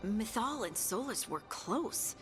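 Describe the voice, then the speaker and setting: A thoughtful, inquisitive adventurer in a fantasy game. Her delivery is animated and explanatory. Her voice has a long reverb, with faint music behind. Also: a little high, slow. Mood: curious. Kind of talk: naturalistic video game dialogue.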